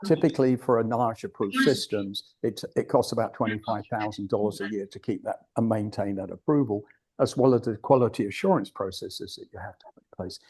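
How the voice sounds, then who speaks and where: Older british guy giving a club talk, kinda low and warm, blunt, rambles and restarts a lot. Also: brisk, husky. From United Kingdom. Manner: digressive, blunt, restarts often. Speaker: guest speaker at a club. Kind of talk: informal presentation.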